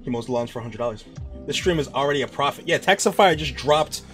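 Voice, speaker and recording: A young man chats loosely on a live stream from a dim room, reacting to viewers with animated, swearing bursts. His voice sits in a middle pitch, and faint music plays underneath. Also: fast. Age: young adult. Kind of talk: casual live-stream chat reading viewer messages.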